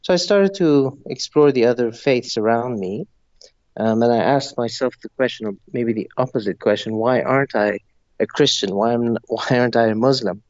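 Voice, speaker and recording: A middle-aged man from Zambia speaks as an interview guest, talking through his answers in a thoughtful, earnest manner. His voice is of middle pitch. The recording is dry and slightly dull, as if the top end were cut off. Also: normal speed, darkish tone, dry recording.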